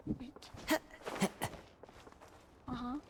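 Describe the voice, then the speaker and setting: A woman's voice: extremely slow, background noise. A woman doing casual gameplay commentary, muttering short reactions to herself. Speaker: gamer playing for own video channel. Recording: loud game music behind voice. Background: loud game music.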